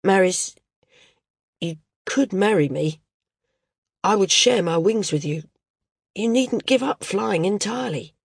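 A woman reading an audiobook, voicing a shy young male flyer who speaks softly and hesitantly. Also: mid-range voice, lively intonation, slow, gravelly, pauses often, husky, dry recording, studio quality, exaggerated voice. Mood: tender hesitant. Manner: hesitant, soft-spoken.

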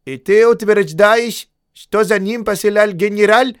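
A man voicing a suspicious palace officer who speaks slowly with clipped, commanding words, in an audiobook. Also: sits high, smooth, voice pushed up. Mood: stern.

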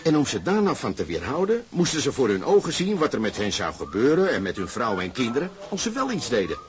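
An actor playing a fervent socialist sailor who lectures insistently and persuasively with emphatic questions, in a Dutch radio drama. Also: male voice, medium pitch, wildly swooping pitch, fast talker, a crowd in the background. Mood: fervent, agitated.

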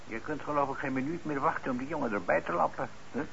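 A man's voice: medium pitch, quick pace, gravelly, close to an everyday voice. An actor playing a kindly, patient old shop owner and father in a Dutch radio play, speaking mildly and without hurry. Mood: mild, defending. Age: older. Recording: dull radio play recording, faint noise.

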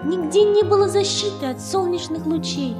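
A woman narrating a children's tale in a measured, expressive literary reading. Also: extremely high pitch. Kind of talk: scripted storytelling for children. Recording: roomy reverb, faint music behind.

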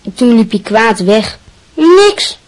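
A woman's voice: fairly high pitch, very animated, slow, poor audio. A woman playing a shy teenage schoolboy who talks in a hesitant, defensive way, in a radio play.

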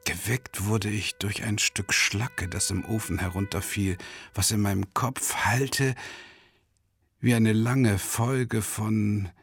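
An actor playing a tormented, self-doubting man who narrates a radio drama monologue in a brooding, intense way, with a gravelly, husky voice. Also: on the low side, fairly even intonation, takes it slowly, fairly bright tone, soft background music. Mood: brooding, tense. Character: tormented, self-doubting, impoverished male travelling lecturer. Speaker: first-person narrator.

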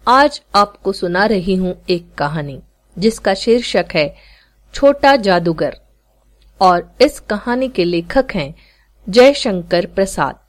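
Slow, expressive woman storyteller. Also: mid-range voice. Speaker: story narrator, podcast reader. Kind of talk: expressive scripted short story narration.

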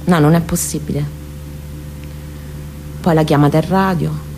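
A woman with a lowish voice and a Roman accent delivers an autobiographical monologue. Her phrasing is deliberate, expressive and intimate, with faint background noise around her. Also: poor audio. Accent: central Italian, Roman. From near Rome, Italy. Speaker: monologue performer. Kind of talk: dramatic autobiographical storytelling monologue.

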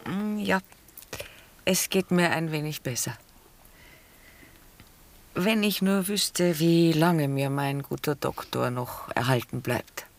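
Ailing, slow-speaking genteel lady. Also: low voice. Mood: weary. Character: frail, ailing, genteel mother, middle-aged lady. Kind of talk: 1960s German radio drama acting.

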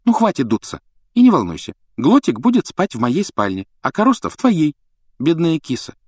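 Man putting on a bossy, high-pitched young girl. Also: wildly swooping pitch, normal speed, breathy edge, no room sound, clean studio sound, exaggerated voice. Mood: brisk. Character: bossy, brisk thirteen-year-old girl.

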